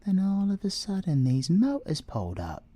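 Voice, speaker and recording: A British man playing a cocky, flirtatious male siren in an ASMR audio roleplay, breathy and teasing, often slipping into whispers. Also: high-pitched, wildly swooping pitch, normal speed, very noisy. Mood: wry storytelling. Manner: teasing, theatrical, drops into whispers.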